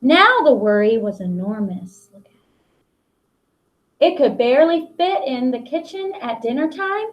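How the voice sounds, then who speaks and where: High, very animated American woman reading to children. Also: muffled recording. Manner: simple, deliberately expressive phrasing addressed to children. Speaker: school principal reading to pupils. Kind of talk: picture book read-aloud for children.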